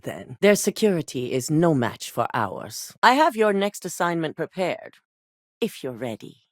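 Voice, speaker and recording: An actress voicing a composed, commanding corporate executive woman in a video game, speaking in a measured, formal, authoritative way. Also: mid-range voice, dry recording, clean studio sound, close to an everyday voice. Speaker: corporate executive giving assignments.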